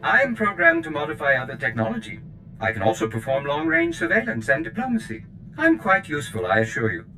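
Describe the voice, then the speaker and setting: A fussy male steward droid speaks in a high, wildly swooping voice. It is formal, obsequious and proud of its service, like a butler in a sci-fi game, with loud music behind it. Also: wildly swooping pitch, quick pace, poor audio. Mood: eager, servile. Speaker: ship steward. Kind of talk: video game character acting.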